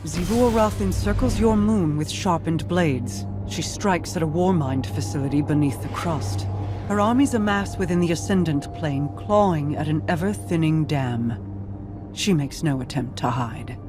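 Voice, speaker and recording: An actress playing a solemn, regal queen and seer in a video game, speaking formally and with measured gravity. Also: sits low, animated, over music. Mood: grave. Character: regal, grave female queen and seer advising war. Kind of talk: scripted game dialogue.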